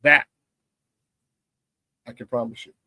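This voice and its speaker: A man hosting a morning sports talk show, hoarse from a cold, talking in a hyped, slangy, casual way. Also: bright, forceful tone, dry recording, clean studio sound. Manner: hyped, slangy, drawn-out hype calls. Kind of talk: casual chat.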